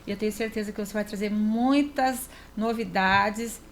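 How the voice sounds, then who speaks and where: A woman's voice: medium pitch, steady tone, moderate pace, a little hiss or hum. A Brazilian woman hosting an interview podcast, chatting enthusiastically and effusively, often addressing her audience directly. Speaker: podcast host.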